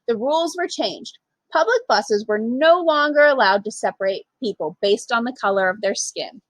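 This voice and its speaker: A woman reading a children's picture book aloud in an expressive, emphatic way with dramatic pauses. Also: on the high side, dry recording, low-quality recording. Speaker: storytime reader, literacy program manager.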